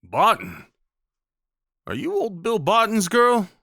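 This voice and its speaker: Audio roleplay: male actor as rugged, kind Old West cowboy, folksy drawl, unhurried, clear-edged bright tone. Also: high voice, wildly swooping pitch, no echo, studio quality, pitched above the performer's own voice, heavily acted. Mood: friendly, amused. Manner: folksy drawl, gentle and reassuring. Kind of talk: roleplay audio drama acting.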